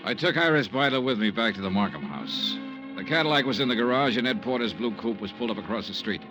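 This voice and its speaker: A man's voice: medium pitch, lively intonation, quick pace, natural voice, poor audio. A man playing a hardboiled, cynical insurance investigator in 1950s radio drama style, clipped and blunt. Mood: brisk, low-key. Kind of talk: 1950s radio drama acting and first-person narration. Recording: old radio drama with music behind.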